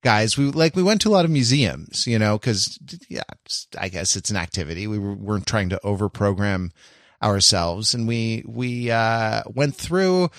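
A man hosting a podcast in casual chat, rambling and haltingly repeating his phrases as he goes. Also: fairly low pitch, expressive, a bit bright, no room sound, studio quality. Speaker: podcast host.

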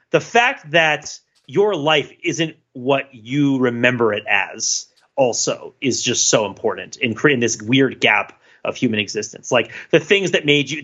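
A man's voice: mid-range voice, animated, normal speed, pushed and bright, muffled recording. A man co-hosting a podcast in casual discussion, rambling with many fillers and self-corrections. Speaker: podcast co-host.

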